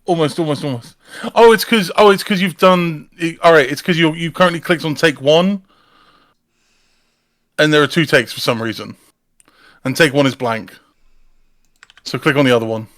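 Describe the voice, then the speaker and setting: A man's voice: a little high, normal speed, pauses often, fairly bright tone, no echo, clean studio sound. A man in casual chat, talking someone through a technical fix, often stammering and repeating words. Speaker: live-stream participant giving technical help.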